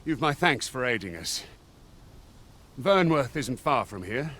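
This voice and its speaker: A man's voice: medium pitch, very animated, a little slow, pushed and bright, heavily acted. A man playing a courteous soldier in a medieval fantasy video game, speaking in formal, old-fashioned phrases. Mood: relieved, friendly. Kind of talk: video game voice acting, archaic formal speech.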